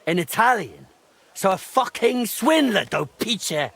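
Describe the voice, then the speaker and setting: An actor playing a middle-aged, washed-up drunken master craftsman in a video game, halting, grumbling and self-pitying, with a gravelly, husky voice. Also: high voice, very animated, sharp tone, full character voice. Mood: scornful. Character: ashamed washed-up drunken old master clockmaker, grumbling and reluctant. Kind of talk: video game drama acting.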